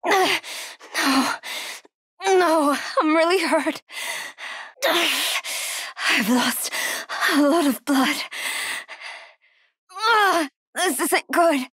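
Woman acting frightened wounded woman, high-pitched, halting. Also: a bit bright, dry recording, studio quality, heavily acted. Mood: pained, pleading. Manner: breathy pleading self-talk, drifting into whispers. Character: frightened wounded young woman, trapped, desperately steadying herself. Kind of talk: dramatic solo voice acting.

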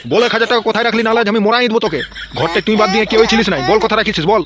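A man's voice: high voice, rapid-fire, crowd noise, close to an everyday voice. A man voicing a crude, scolding old village grandfather in a comedy cartoon, with a rural West Bengal accent. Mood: angry, threatening. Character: grumbling, foul-mouthed old village grandfather on a pension. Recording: music behind the voice.

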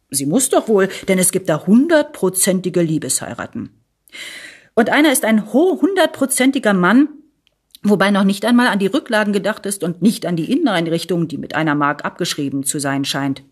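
A woman reading a satirical essay aloud in an expressive, deliberate and emphatic way with dry irony. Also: mid-range voice, breathy edge. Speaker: reader of a literary text.

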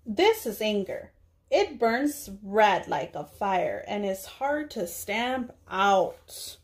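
A young woman, a preschool teacher, speaking to small children in a deliberate read-aloud style with drawn-out words. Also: mid-range voice, sing-song. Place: small indoor room.

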